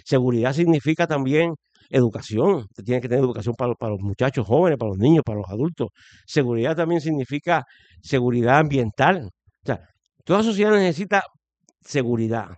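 A man's voice: medium pitch, expressive, brisk, darkish tone, dry recording. Older Puerto Rican man, dry dull recording, emphatic guest with restarts. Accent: Puerto Rican Spanish. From Puerto Rico. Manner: emphatic, hesitant restarts, repeats points. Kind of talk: political talk interview. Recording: dull lo-fi sound.

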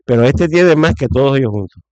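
A man's voice: medium pitch, expressive, fairly quick, darkish tone, no room sound, highs cut off. A middle-aged man with a Puerto Rican accent giving opinionated political commentary in conversation, stressing points by repeating phrases. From Puerto Rico.

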